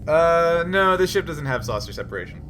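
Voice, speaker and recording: A man's voice: fairly high pitch, big pitch swings, fairly quick, a bit bright, faint music behind. A man acting as game master for a tabletop role-playing game, talking conversationally and explaining the state of play.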